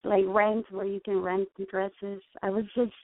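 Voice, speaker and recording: A woman's voice: mid-range voice, flat delivery, unhurried, no room sound. Brief: woman in her 30s, Mexican accent, hesitant caller, tinny phone line. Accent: Mexican Spanish. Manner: hesitant, full of likes and ums. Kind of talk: phoning in for advice.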